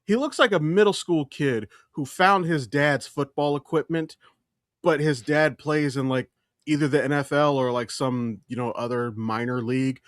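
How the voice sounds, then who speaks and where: A man's voice: a little high, moderate pace, no room sound, clean studio sound. A man co-hosting a podcast in casual pop-culture chat, emphatic and opinionated, swearing freely. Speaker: podcast co-host.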